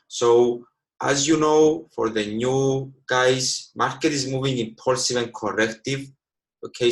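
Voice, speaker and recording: Man in his twenties teaching. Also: medium pitch. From Cyprus. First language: Greek. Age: 20s. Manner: fills with frequent "okay", slightly broken English. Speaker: trading educator. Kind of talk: live online teaching. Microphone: video call.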